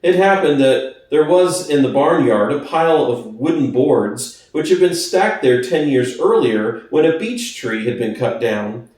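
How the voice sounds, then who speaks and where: A man's voice: medium pitch, expressive, a little slow, a little mellow. Man narrating dramatically, very echoey room. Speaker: audiobook narrator. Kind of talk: dramatic scripted narration of a classic novel. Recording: very echoey room, distant from the mic.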